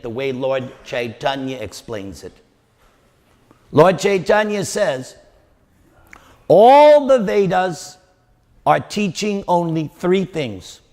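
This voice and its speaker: A man with a New York accent preaches to a congregation, pressing his points home by repeating phrases and asking the audience questions. His voice sits a little high, and he speaks slowly. A long reverb trails each line, as if he is in a large hall. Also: darkish tone. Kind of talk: devotional lecture to a congregation.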